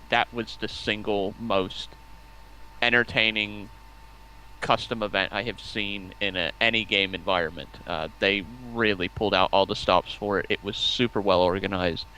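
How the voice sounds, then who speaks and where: A man's voice: sits low, steady tone, moderate pace, sharp tone, slight background noise, poor audio. A man chatting casually as a stream guest, rambling enthusiastically over a voice call. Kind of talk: casual chat.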